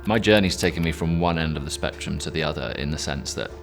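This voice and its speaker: An English man with a very low, deep voice talks in an earnest, reflective way. Music plays clearly behind him as he speaks in a documentary interview. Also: fast talker. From England. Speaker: climber with haemophilia.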